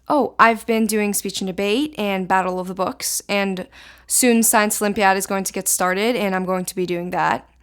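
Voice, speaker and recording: Clear teenage girl, native Hebrew speaker. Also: medium pitch, normal speed. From Israel. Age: teens. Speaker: high school student interviewee. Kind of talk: informal interview answers.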